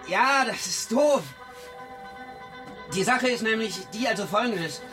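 An actor playing a nervous, evasive debtor in a radio crime drama, rambling hesitantly through placating excuses. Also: male voice, high voice, expressive, a little slow. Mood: falsely cheerful. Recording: music clearly behind the voice.

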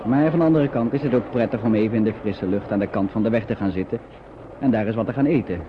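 A man narrating a Dutch radio play in a measured, descriptive storytelling style. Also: fairly low pitch, crowd noise, natural voice. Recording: muffled, noisy old radio recording.

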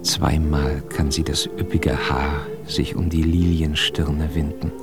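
A man's voice: extremely low pitch, level tone, slow pace, loud music behind the voice, heavily acted. A man playing an admirer who recites romantic poetry in a radio drama. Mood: tender, slow. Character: admirer reciting romantic verse about dark eyes.

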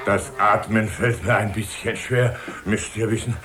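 A man's voice: fairly low pitch, fairly even intonation, unhurried, loud music behind the voice, lightly acted. An actor playing a fat, gluttonous royal councillor in a children's radio play, short of breath as he talks. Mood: fussy, self-satisfied.

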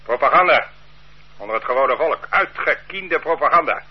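An actor playing a stern interrogator who accuses and bullies his suspect in a radio drama, with a husky voice and curt manner. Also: a little high, animated, moderate pace. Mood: menacing. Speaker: interrogating official. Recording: dull lo-fi radio play recording.

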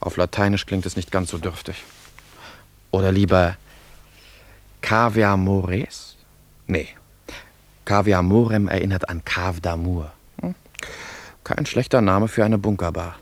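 An actor playing a pompous, earnest and easily affronted young admirer in a theatrical, florid German radio drama style. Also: male voice, low voice, fairly even intonation, measured pace, pauses often. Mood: scornful musing. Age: young adult.

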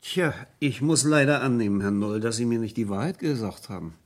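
An actor playing a stern, methodical police inspector in a 1970s radio crime drama, formal and deliberate. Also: male voice, medium pitch, animated, noisy background, close to an everyday voice. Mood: stern, official.

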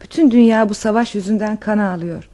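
A woman playing a genteel, expressive countess in a radio theater romance. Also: mid-range voice, brisk, natural voice. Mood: affectionate, reproachful. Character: refined countess, affectionate, anxious, in a wartime romance.

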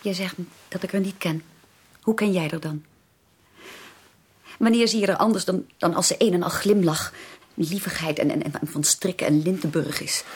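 An actress in a Dutch radio drama playing an earnest, anxious young sister who pleads haltingly and emphatically. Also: female voice, on the low side, husky. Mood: reproachful. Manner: halting, emphatic, repeats words for stress.

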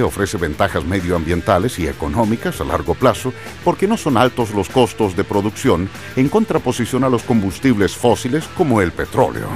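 A man's voice: fairly low pitch, darkish tone. A man reading scripted educational documentary narration.

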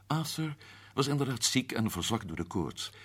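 A man playing a shrewd man explaining the solution of a mystery in a radio play, husky and measured. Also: mid-range voice, big pitch swings, a bit bright. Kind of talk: radio drama acting.

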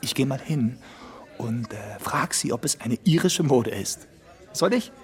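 An actor playing a chattering, mischievous young gentleman at a party in a radio play. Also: mid-range voice, expressive, measured pace, close to an everyday voice.

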